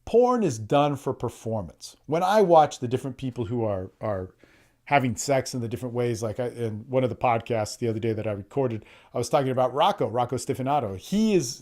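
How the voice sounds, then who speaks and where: A man's voice: mid-range voice, darkish tone. A man hosting a solo podcast, talking bluntly and profanely, often restarting words and acting out quotes. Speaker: podcast host and coach.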